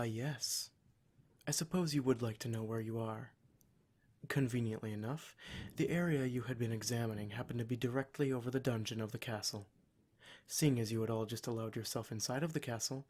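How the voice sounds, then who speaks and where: A smug male demon, acted out by a man, addresses his captive listener in an ASMR roleplay. His voice sits at a middling pitch. He speaks in measured, formal phrases, condescending and full of menace. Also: darkish tone, lightly acted.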